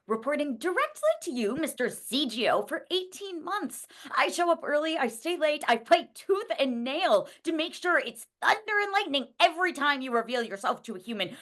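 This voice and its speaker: A woman acts out a combative young female office worker in a dramatic script reading. The voice is high and very animated. She argues with sharp sarcasm and heavy emphasis. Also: moderate pace, hardly any pauses. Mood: indignant. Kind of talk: dramatic table-read acting.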